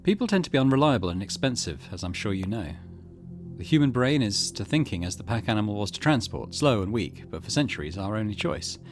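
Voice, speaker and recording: A man voicing a calculating, ambitious tech executive in a visual novel, speaking in a measured, formal, lecturing way. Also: fairly low pitch, very animated, faint music behind. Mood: confident, lofty.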